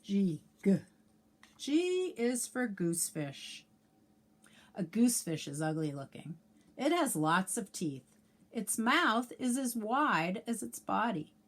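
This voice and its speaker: A woman reading a children's picture book aloud like a teacher, sing-song and clearly enunciated, with asides and questions for young listeners. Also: mid-range voice, big pitch swings, slow.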